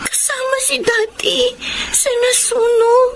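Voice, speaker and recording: A woman's voice: extremely high pitch, level tone, slow, full character voice. An actress playing an earnest young girl, a little sister, in a Filipino radio drama. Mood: worried. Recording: noisy ambience behind.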